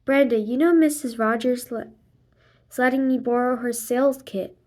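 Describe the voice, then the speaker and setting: A woman reading a children's graphic novel aloud expressively, acting out the dialogue and sound words in a deliberate way. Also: a little high, fairly even intonation, a little mellow. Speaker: read-aloud narrator.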